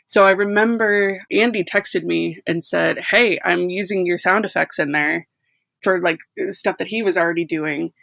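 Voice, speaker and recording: A woman's voice: lowish voice, moderate pace, gravelly, fairly bright tone, no echo. A woman from a podcast team chatting informally, often dropping "like" into her sentences. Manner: informal, peppered with "like". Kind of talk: casual chat.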